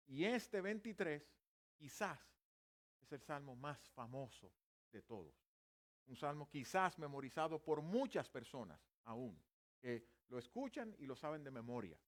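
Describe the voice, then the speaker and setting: A man in his fifties who is a native Spanish speaker, preaching a sermon in a church, with self-deprecating humor and earnest Bible teaching. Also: mid-range voice. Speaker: pastor. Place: church sanctuary.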